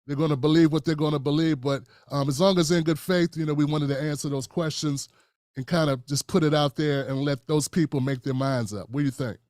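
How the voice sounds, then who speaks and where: A man hosting a live-stream interview, speaking in a measured way with frequent fillers and restarts. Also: on the high side, steady tone, darkish tone, no echo, clean studio sound. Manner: measured, many fillers and restarts, calls guest brother. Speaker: host.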